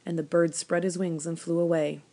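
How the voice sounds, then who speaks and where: Female storybook narrator, slightly low pitch, expressive read-aloud delivery. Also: a little fast. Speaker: storybook reader, school staff member.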